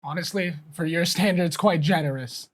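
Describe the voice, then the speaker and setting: A man's voice: a little high, moderate pace. A man taking part in a tabletop game, switching between in-game dialogue and casual chat.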